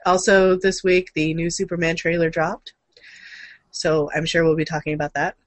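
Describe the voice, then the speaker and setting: A woman's voice: fairly low pitch, level tone, normal speed, no echo. A woman co-hosting a casual news chat, with an irreverent, joking and sweary way of talking. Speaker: show host.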